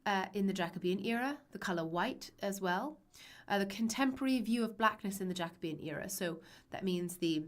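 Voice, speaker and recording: A young woman teaching a live online lesson, her voice raspy and hoarse from a cold, chatty and reassuring with frequent ums. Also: mid-range voice, lively intonation, a little fast, hardly any pauses. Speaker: literature teacher.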